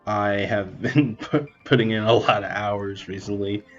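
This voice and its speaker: A man's voice: on the low side, steady tone, a little slow. A young man giving casual let's play commentary as host of a gaming video. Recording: dull, band-limited mic, faint game music. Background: game music.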